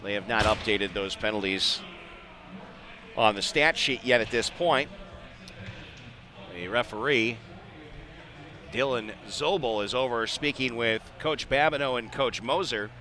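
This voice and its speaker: A man's voice: mid-range voice, measured pace, pauses often, pushed and bright. An American man calling live ice hockey play-by-play in a conversational way, with short clipped phrases. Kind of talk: live sports commentary. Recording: live arena broadcast with clear background noise.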